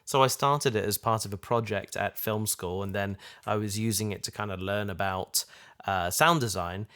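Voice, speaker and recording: A man's voice: lowish voice, normal speed, a bit bright. A man hosting a podcast, chatting casually at home as someone who makes the show. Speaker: podcast host, show creator. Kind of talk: casual chat. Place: in his house.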